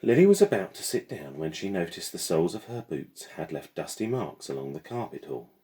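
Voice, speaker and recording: A man reading a children's novel aloud in expressive storybook narration. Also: low-pitched, a little fast. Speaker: teacher reading aloud to pupils.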